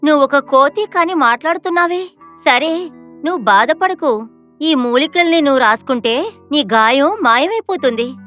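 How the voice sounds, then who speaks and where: A woman plays a kind young heroine in her twenties from an animated moral story. She speaks earnestly and pleadingly in a high voice, with clear music underneath. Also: normal speed. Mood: kind, concerned. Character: kind, devout 22-year-old poor young woman.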